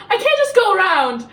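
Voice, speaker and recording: A woman's voice: extremely high pitch, fairly bright tone, voice pushed up, heavily acted. A woman putting on a sassy, dismissive male emperor while retelling a novel's plot. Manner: sassy, mocking. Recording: echoey kitchen, camera at a distance.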